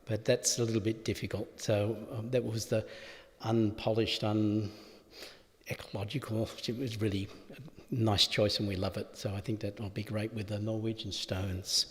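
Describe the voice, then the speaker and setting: A man giving a halting, informal thank-you speech at a funeral. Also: lowish voice, flat delivery. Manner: halting, informal, with fillers and asides. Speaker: family member speaking at a funeral. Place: funeral chapel. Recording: long reverb of a large hall.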